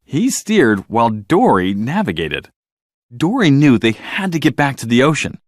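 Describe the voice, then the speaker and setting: A man reading a scripted children's story as narrator, in an expressive, dramatic storytelling manner. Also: medium pitch, normal speed, no room sound, studio quality.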